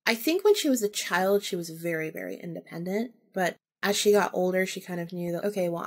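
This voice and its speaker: Woman telling a casual personal story. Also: on the low side. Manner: animated retelling, acting out remembered dialogue, informal with swearing. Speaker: podcast host.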